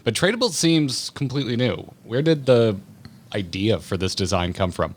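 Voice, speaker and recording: A man's voice: mid-range voice, animated, moderate pace. A man hosting a podcast, putting conversational interview questions to guests. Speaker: podcast host.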